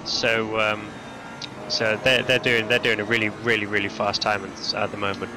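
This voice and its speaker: A man giving casual, halting live commentary on a sim race for a charity stream, full of restarts and fillers. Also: fairly low pitch, little pitch movement, over music, low-quality recording. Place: lives in Denmark.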